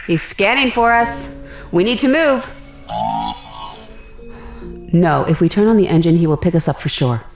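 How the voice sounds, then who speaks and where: An actress playing a determined, anxious young spaceship captain in an audio drama, urgent and thinking aloud. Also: female voice, on the low side, animated, moderate pace, pauses often, echoey room, faint music behind. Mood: urgent planning.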